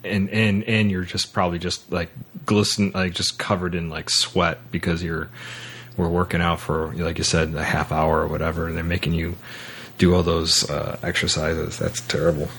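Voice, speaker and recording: Deep-voiced man chatting on a podcast. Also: flat delivery, fairly bright tone, a little hiss or hum. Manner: halting, circles back to repeat words. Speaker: podcast host. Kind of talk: casual film discussion.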